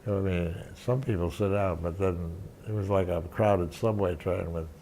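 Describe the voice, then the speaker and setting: An older man recalls old reporting assignments, his voice sitting low. He stops and restarts often, hunting for words. A faint hiss runs under the recording. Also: dark tone, low-quality recording. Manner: halting, many restarts and fillers. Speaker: interviewee, veteran journalist. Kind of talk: reminiscing interview.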